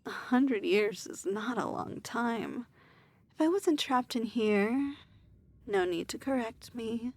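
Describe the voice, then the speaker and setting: Slow, imperious female demon lord, acted by a woman. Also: fairly high pitch, expressive, heavily acted. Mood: cold, disdainful. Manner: formal, stilted, measured diction. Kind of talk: ASMR fantasy roleplay acting.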